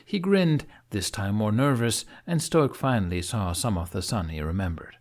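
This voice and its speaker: A man's voice: on the low side, expressive, moderate pace, darkish tone. A man narrating an audiobook as dramatic, scripted storytelling.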